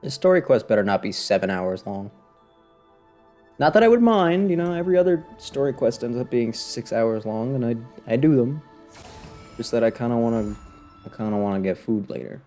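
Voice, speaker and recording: A man streams a video game with casual, off-the-cuff commentary. His voice sits at an ordinary pitch, and he comes across wry and sarcastic. Faint music plays behind him. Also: lively intonation, normal speed, warm tone. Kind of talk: casual live gameplay commentary.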